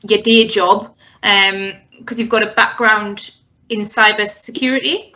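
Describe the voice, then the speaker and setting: A woman's voice: medium pitch, steady tone, measured pace, pushed and bright. A woman hosting an author interview, asking conversational questions as a librarian. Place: online video event.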